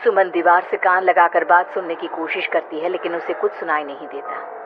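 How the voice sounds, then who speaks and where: A woman's voice: on the low side, steady tone, normal speed. A woman giving scripted narration of a horror story as its narrator. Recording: tinny, noisy band-limited audio.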